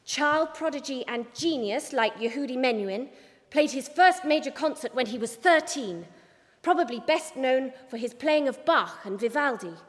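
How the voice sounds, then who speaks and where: A woman's voice: high-pitched, lively intonation, moderate pace, long reverb tail. A woman voicing a young female cub reporter, eager to please and a little nervous, in an audiobook. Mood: eager, informed. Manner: tentative, hesitant, eager to please. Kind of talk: audiobook character voice.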